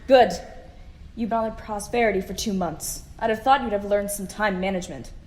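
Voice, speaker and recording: A woman acts as a stern but fair female starship commander in a sci-fi audio drama. Her mid-pitched voice is dry and brisk, with an edge of exasperation. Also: a little mellow, lightly acted. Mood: brisk exasperated.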